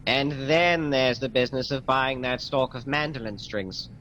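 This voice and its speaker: A medium-pitched actor as a male police sergeant, dutiful and brisk. He speaks respectfully and plainly in a radio crime play, with faint traffic noise behind. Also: a bit bright, close to an everyday voice, poor audio. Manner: respectful, matter-of-fact. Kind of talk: period radio crime drama acting.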